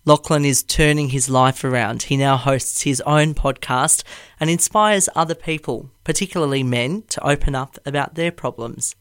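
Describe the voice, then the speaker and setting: A man hosting a podcast, reading a scripted intro and then asking gentle, supportive questions. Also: medium pitch, moderate pace, clear, resonant tone, dry recording, studio quality. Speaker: podcast host.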